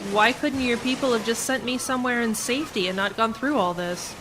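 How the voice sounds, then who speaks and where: A woman's voice: a little high, fairly quick, lightly acted. A woman playing a defiant, bitter prisoner in a sci-fi radio play, wary and pointed in her questioning. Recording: noisy community radio drama recording.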